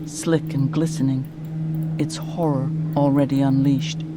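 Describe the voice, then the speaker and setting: A man's voice: mid-range voice, level tone, a little slow, natural voice. A man narrating a scripted sci-fi horror audio drama in a serious manner. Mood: ominous narration. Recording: loud music bed behind.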